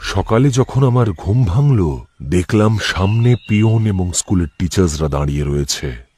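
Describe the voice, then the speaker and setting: A man's voice: low-pitched, lively intonation, normal speed, a little mellow, slight background noise. A man reading scripted horror cartoon narration in the first person, in a dramatic, suspenseful manner.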